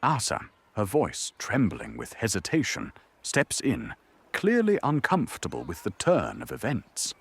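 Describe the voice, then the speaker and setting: A man with a gravelly, husky voice giving dramatic scripted narration for an audio drama. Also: medium pitch, huge pitch swings, measured pace, a bit bright, dry recording.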